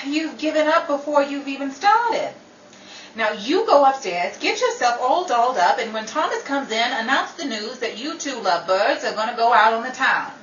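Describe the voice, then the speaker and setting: A woman's voice: high voice, very animated, moderate pace, fairly bright tone. A woman playing a worldly, melodramatic, bossy but loyal friend in a 1930s-style radio drama, with a wry, commanding delivery. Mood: bossy, caring. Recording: dull, roomy, distant, with faint noise.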